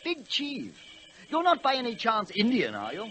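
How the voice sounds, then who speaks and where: Snooty British locomotive: pompous, high-pitched, wildly swooping delivery, hissy vintage radio. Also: male voice, wildly swooping pitch, moderate pace, pushed and bright, exaggerated voice. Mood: haughty. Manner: pompous, affected upper-class drawl. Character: snobbish, fussy male English express locomotive. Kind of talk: 1940s radio play acting. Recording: hissy 1940s radio recording.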